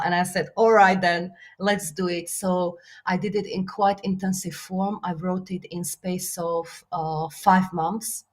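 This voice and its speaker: Slovak lady guest in an interview, lowish voice, thoughtful, lots of uhs and ums. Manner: earnest, reflective, many fillers, laughs softly. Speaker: guest, business author and coach. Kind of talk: casual interview talk.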